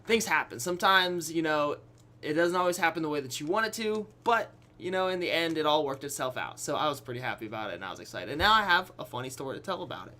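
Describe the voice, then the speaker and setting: A young adult man telling a personal story casually to his viewers, acting out quotes, pausing for effect and often checking that listeners follow. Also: on the high side, lively intonation, pushed and bright. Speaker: YouTube storyteller.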